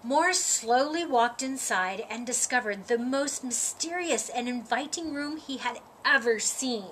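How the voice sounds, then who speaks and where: A woman with a high voice narrates a children's storybook. She takes it slowly, shaping phrases with expressive, deliberate care. There is audible noise in the recording. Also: rough recording. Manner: deliberate, dramatic phrasing with drawn-out words. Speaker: storyteller reading a children's picture book.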